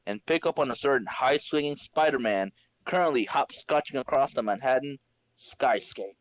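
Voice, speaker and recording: A man's voice: mid-range voice, moderate pace, no room sound. A man narrating a comic book dramatic reading in a theatrical, scripted style.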